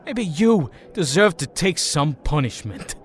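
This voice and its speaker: A man's voice: on the high side, sing-song, a little slow. A man playing a drunk, bitter and jealous young ex-hero in an audio drama, with taunting, resentful outbursts.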